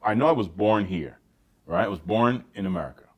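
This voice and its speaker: A man with a Caribbean accent who was raised in Barbados, co-hosting a casual chat and telling animated stories with many restarts and repeated words. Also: on the low side, a little fast, husky, dull audio. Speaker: podcast co-host.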